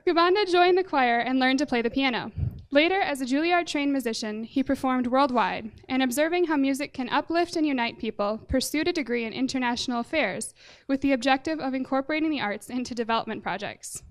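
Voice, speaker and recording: High-pitched woman, event host, reads a formal guest introduction. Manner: measured, formal, jokes about pronunciation. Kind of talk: reading a prepared introduction.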